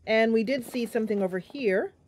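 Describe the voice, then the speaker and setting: A woman hosting a casual game livestream, chatty and animated, talking through her play and reading bits of story aloud. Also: fairly high pitch, a little fast. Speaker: streamer and host playing a fantasy role-playing game. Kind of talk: casual livestream gameplay commentary with narration read aloud.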